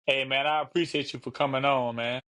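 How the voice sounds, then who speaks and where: A man hosting a casual interview show, talking in a relaxed, easygoing way and often dropping "man" and "you know what I'm saying". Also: mid-range voice, brisk. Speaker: podcast host.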